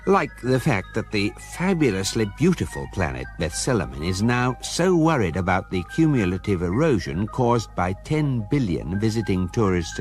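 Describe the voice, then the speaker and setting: A British man narrating a scripted radio drama in a measured, wry, deadpan way. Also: lowish voice. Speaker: narrator, reading a guidebook's story.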